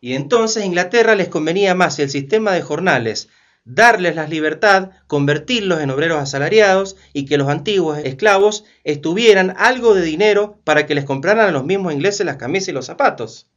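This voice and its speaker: Man acting animated, impassioned historian in lo-fi sound. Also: a little high, a little fast. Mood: wry, explaining. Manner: emphatic, rhetorical questions, didactic. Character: impassioned male historian denouncing slavery, argumentative. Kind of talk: community radio play dialogue.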